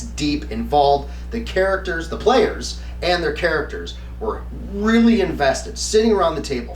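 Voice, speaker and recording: A middle-aged man hosting a review in an earnest, emphatic way, speaking to camera in a semi-scripted style. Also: a little high. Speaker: host, tabletop game reviewer. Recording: roomy, distant mic, faint background noise.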